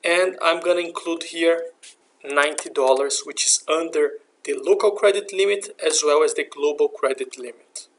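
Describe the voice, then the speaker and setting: Higher-voiced man with some creak, explaining software. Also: moderate pace. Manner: methodical step-by-step explaining. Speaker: software instructor. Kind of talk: screencast tutorial narration. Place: voice-over for a screen recording.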